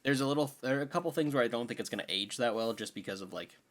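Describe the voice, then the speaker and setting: A man's voice: mid-range voice, very fast. A man co-hosting a podcast, giving his opinions on films in casual conversation. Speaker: podcast co-host. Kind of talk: casual film podcast chat.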